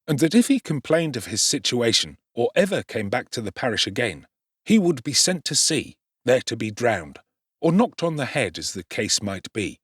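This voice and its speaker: Male narrator, expressive, lively pitch, husky, dry close recording. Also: no echo. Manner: expressive, theatrical storytelling. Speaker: audiobook narrator. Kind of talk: dramatic audiobook narration.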